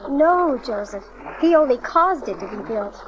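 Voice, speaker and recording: Sweet devout young mom in bible audio drama, high voice, muffled cassette. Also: female voice, lively intonation, measured pace. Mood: serene, fond. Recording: dull lo-fi cassette transfer.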